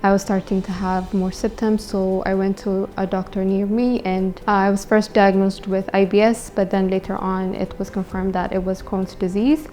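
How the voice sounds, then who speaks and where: Flat, soft-edged young Ethiopian woman. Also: medium pitch, moderate pace, few pauses. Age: young adult. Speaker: patient giving testimonial. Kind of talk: personal testimonial to camera.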